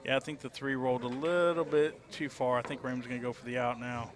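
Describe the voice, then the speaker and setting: A man with a Texan accent giving relaxed, explanatory color commentary on a pool match, using folksy sayings. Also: mid-range voice, quick pace, faint music behind. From Texas. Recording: noisy crowd in the background.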